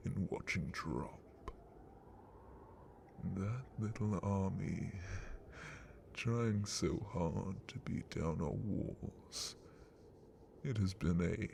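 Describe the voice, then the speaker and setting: A man playing a sadistic, haughty dragon captain of the guard in an ASMR roleplay, speaking with menacing contempt in a breathy, gravelly whisper. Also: sits low, slight background noise, exaggerated voice. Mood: contemptuous, then disdainful, brooding. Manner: menacing, contemptuous, drawn-out theatrical delivery.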